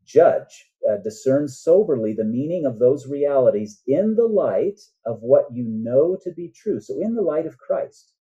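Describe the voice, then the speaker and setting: A man speaking as a guest author in an interview, in an earnest, halting manner with deliberate pauses. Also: mid-range voice, animated, dark tone. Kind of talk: conversational interview answers. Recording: muffled, dull band-limited sound.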